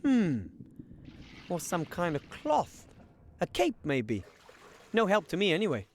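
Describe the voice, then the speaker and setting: A man's voice: high-pitched, wildly swooping pitch, measured pace, exaggerated voice. An actor playing a timid, bumbling young male gnome adventurer in a game, fumbling and stammering as he gropes about. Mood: puzzled. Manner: fumbling, hesitant, stammering when startled. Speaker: game character.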